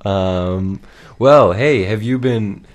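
A man co-hosting a casual, humorous radio show in a halting, mock-serious way. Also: lowish voice.

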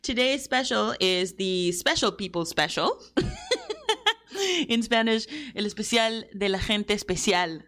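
A woman's voice: mid-range voice, measured pace, fairly bright tone. A young adult woman from Ecuador hosting a campus radio show, chatting casually with a bubbly, giggly manner. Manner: giggly, bubbly, bilingual asides. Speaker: radio host. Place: radio studio.

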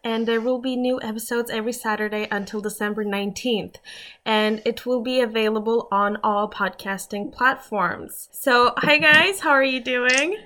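A woman's voice: on the high side, moderate pace, bright, forceful tone. A woman hosting an arts radio show in a chatty, informal, self-deprecating way. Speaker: radio show host and interviewer. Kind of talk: casual arts radio presenting.

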